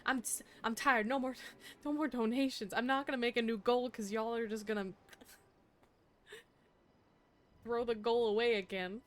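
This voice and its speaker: A woman's voice: on the high side, fairly even intonation, normal speed, long pauses. A young adult woman streaming a game, chatting casually in a playful, teasing way and laughing often.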